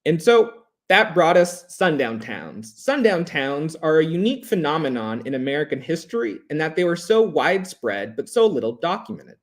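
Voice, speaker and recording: A man giving a prepared history presentation in a measured, emphatic, formal way, as a lecturer. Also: a little high, very animated.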